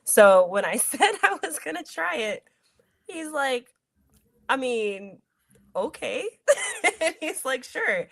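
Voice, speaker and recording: A woman's voice: sits high, huge pitch swings, takes it slowly, gravelly, pauses often, fairly bright tone, no echo. A woman who wrestles, chatting as an interview guest in an upbeat, giggly way with plenty of "like". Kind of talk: casual interview chat.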